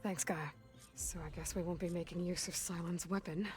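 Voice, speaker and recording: A determined young warrior woman played by an actress speaks in a measured, guarded way, natural as everyday talk. It is game cutscene dialogue with clear music playing underneath. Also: fairly low pitch, steady tone, lightly acted. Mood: matter-of-fact. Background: music behind the voice.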